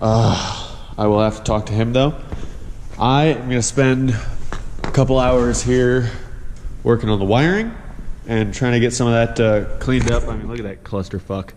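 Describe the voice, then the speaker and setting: A man's voice: medium pitch, a little mellow, in a large hall, slight background noise. A young man with a badly stuffed-up, nasal voice from allergies, rambling casually and cursing through a garage motorcycle walkthrough. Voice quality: nasal, plugged-up. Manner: rambling, profane, halting with many uhs. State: congested, stuffed-up nose from allergies. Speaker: motorcycle DIY vlogger. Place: home garage workshop.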